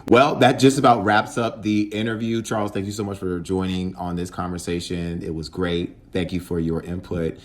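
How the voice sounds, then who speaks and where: A man's voice: low voice, steady tone, normal speed, few pauses. A man hosting a podcast interview, easygoing and affirming as he asks long questions. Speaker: podcast host.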